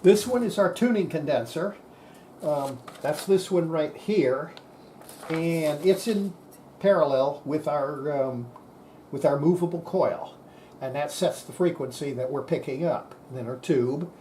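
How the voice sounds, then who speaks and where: A man's voice: a little high, a little slow, warm tone, slight background noise. A man giving an informal hands-on technical explanation, plain-spoken and folksy, thinking aloud as he demonstrates equipment. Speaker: presenter demonstrating radio equipment.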